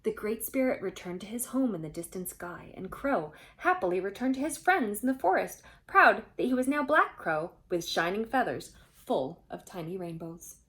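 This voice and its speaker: A woman reading a storybook aloud to children, expressive and phrase by phrase, with faint background noise. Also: medium pitch, normal speed, hardly any pauses. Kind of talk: children's storybook read-aloud with chatty asides.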